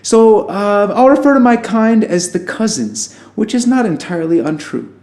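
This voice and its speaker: A man's voice: high-pitched, animated, moderate pace, a little mellow. A man playing an affable, nervous alien who poses as human, a pacifist pessimist speaking in wry, rambling, conversational asides in an audio drama. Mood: wry, confiding. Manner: informal, rambling, self-interrupting, wry asides. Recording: roomy echo, music underneath.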